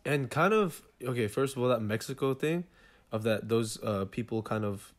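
A man's voice: mid-range voice, expressive, moderate pace. A man hosting an interview over a video call, asking his questions in a searching, hesitant way. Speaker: interview host. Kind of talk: casual interviewing.

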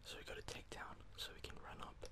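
A man whispers casual, sweary ASMR commentary as he plays a video game. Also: quick pace. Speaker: gaming video host.

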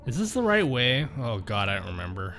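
A man's voice: medium pitch, very animated, brisk, fairly bright tone, faint music behind. A man streaming a game, chatting casually and joking with his viewers as he reads their messages aloud. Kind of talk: casual chat while playing a game.

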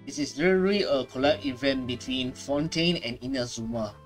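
A man's voice: medium pitch, a little fast, soft background music. Laid-back man reacting to a game. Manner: relaxed, chatty, with small restarts. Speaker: gaming reaction host. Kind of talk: casual commentary over gameplay.

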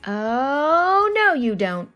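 A woman's voice: high-pitched, big pitch swings, extremely slow, fairly bright tone, soft background music, pitched above the performer's own voice, full character voice. A woman voicing an exasperated, dramatic math skeptic who argues back in a picture book read-aloud. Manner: dramatic, grumbling, emphatic. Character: exasperated math-skeptic reader arguing with an alien.

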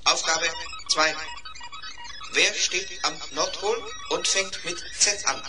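Young robot pupil, played by an actor: polite, earnest, measured diction, children's audio drama, music behind. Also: mid-range voice, close to an everyday voice. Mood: businesslike. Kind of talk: 1970s German children's radio play acting.

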